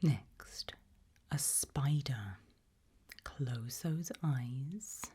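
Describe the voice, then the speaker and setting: A woman softly whispering an ASMR roleplay, questioning the listener in a deliberate, gently ominous way. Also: rumbling low, lively intonation. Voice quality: soft breathy whisper, mostly unvoiced.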